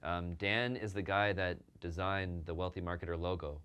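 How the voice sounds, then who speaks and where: A man's voice: low-pitched, fairly even intonation, moderate pace, fairly bright tone, smooth. A man hosting an interview, speaking casually and praising his guest with many fillers. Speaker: show host and agency owner.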